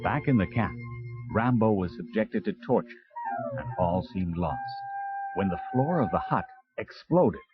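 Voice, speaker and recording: Male story narrator, low register, children's read-along adventure, music bed, muffled cassette. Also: huge pitch swings, a little slow. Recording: dull 1980s cassette tape.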